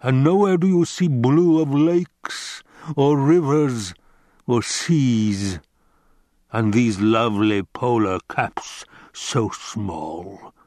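Male narrator as fat scheming baron, rumbling growly basso, pompous, menacing, savoring each word, dry close recording. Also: a little mellow, no room sound, lightly acted. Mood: savoring, smug. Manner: grandiose, savoring drawn-out delivery.

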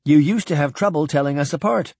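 A man's voice: fairly high pitch, quick pace, vocal fry, a little mellow, slightly rough, dry recording. A man voicing a playful, inquisitive alien that imitates a young officer, breezy and teasing, in a science fiction audiobook.

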